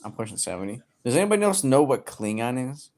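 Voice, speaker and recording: A man in his early thirties livestreaming, reading chat aloud and joking crudely, with sudden loud outbursts. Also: medium pitch, very animated, fast talker. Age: 30s.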